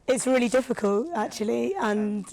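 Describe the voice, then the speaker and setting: A woman, a rapper and radio presenter, talking casually as a guest with a slightly raspy, tired voice and lots of fillers. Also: a little high, normal speed, a little mellow. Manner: chatty, thoughtful, with frequent fillers. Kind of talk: casual podcast conversation.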